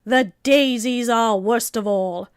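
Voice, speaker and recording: A haughty, passionate talking flower from a storybook. The voice is high and very deliberate, bossing and scolding with heavy emphasis. It sounds slow and dry. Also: female voice, clean tone, no room sound. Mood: bossy. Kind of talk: storybook character voice.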